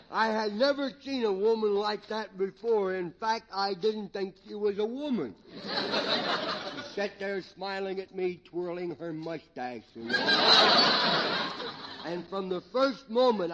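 Casting: foolish, amiable drunk for vintage radio comedy, high drawling slurred voice, muffled and very noisy recording. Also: male voice, slow. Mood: tipsy, rambling. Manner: rambling, drawling, chuckling to himself. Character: tipsy, foolish, good-natured drunkard man. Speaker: comic interviewee. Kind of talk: old-time radio comedy sketch.

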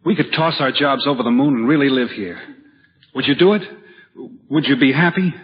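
An actor playing a restless, dreamy young writer type, earnest and reflective, in old-time radio drama style. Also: male voice, mid-range voice, a little fast, lightly acted. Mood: dreamy, eager. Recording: dull vintage radio recording with music.

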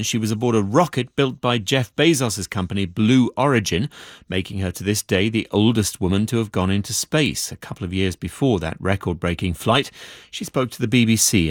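A middle-aged man presenting the news live in a radio studio, brisk and polished as he moves between interviews and stories. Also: on the low side, no room sound.

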